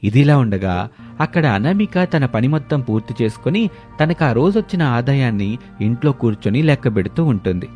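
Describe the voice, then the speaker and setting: A man's voice: mid-range voice, animated, moderate pace, darkish tone, soft background music. A man narrating a scripted moral story as a storyteller.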